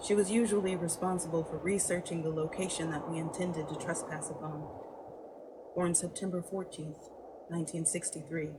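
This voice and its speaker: A woman's voice: on the low side, steady tone, normal speed, background noise, close to an everyday voice. A woman playing an unhinged, murderous young thrill-seeker in a theatrical, darkly gleeful dramatic monologue. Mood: wry, composed.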